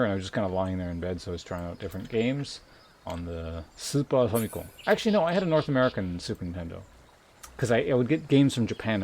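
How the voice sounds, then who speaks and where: A man's voice: on the low side, a little mellow. A man live streaming a game, chatting casually with viewers in a rambling, polite way as he thinks aloud. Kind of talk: casual chat while streaming a game, reading viewer messages. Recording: music behind the voice.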